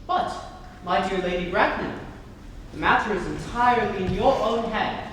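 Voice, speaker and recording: A young woman playing a stubborn, indignant gentleman guardian in a stage comedy reading, formal and earnest in delivery. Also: mid-range voice, normal speed, natural voice. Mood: firm. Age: young adult. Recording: echoey noisy hall from far off.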